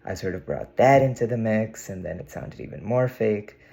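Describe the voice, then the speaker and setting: Low, gravelly young man, musing. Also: expressive, moderate pace, dark tone. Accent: American, put on. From India. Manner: musing, meandering, with frequent "you know". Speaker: vlogger. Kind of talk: casual reflective monologue to camera. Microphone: camera mic, talking to camera.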